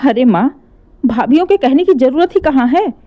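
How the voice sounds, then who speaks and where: A woman's voice: high voice, animated, normal speed, mellow, voice pushed up. A woman voicing a young married daughter who cries and accuses her brother. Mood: tearful. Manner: accusing, crying.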